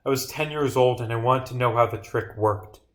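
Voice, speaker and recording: A man playing a guilt-ridden twenty-year-old in a visual novel, halting and formal. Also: mid-range voice, natural voice. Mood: subdued confession.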